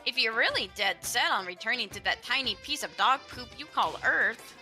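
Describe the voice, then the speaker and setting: A woman's voice: on the high side, expressive, normal speed, pushed and bright, faint music behind. A woman casually chatting and reading a visual novel game aloud with friends. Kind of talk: casual gaming chat and animated read-aloud.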